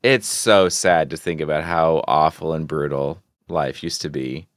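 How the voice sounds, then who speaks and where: Low, smooth-voiced man chatting casually. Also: measured pace, no room sound, clean studio sound. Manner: informal, plain-spoken reactions. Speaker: podcast co-host. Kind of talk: casual conversation, reacting and questioning.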